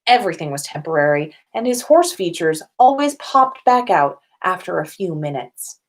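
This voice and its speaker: A woman's voice: fairly low pitch, lively intonation, moderate pace. A woman reading comic fan fiction aloud as a podcast host, now and then stumbling and rereading a phrase.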